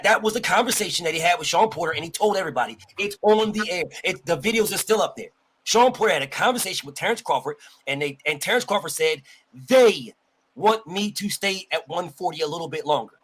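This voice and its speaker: A man's voice: high-pitched, fairly bright tone, husky, rough recording. A man arguing boxing on a podcast, insistent and repetitive, talking over the other speaker to press his facts. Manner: insistent, repeats points, talks over others. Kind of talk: heated sports debate.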